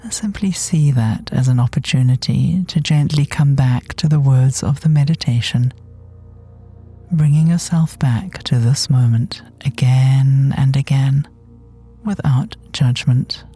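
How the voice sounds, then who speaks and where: Soft, slow, low-lit mellow woman guiding meditation. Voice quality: soft, gentle, breathy, often near whispering. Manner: soothing, unhurried, long pauses between phrases. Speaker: guided meditation teacher. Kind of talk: guided body scan meditation. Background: soft meditation music.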